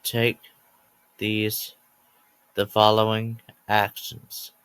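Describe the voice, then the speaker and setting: A man reading a scripted emergency alert announcement as narrator, in a halting way that breaks sentences into short groups of words. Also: lowish voice, completely flat delivery, fairly bright tone, clear, resonant tone, dry recording, low-quality recording.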